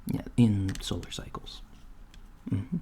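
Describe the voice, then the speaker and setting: A man playing an awkward, apologetic novice alien job interviewer in a soft, hesitant ASMR roleplay. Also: fairly low pitch. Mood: polite, hesitant. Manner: hesitant, halting, mumbling asides. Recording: lo-fi, steady noise, keyboard typing. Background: computer keyboard typing.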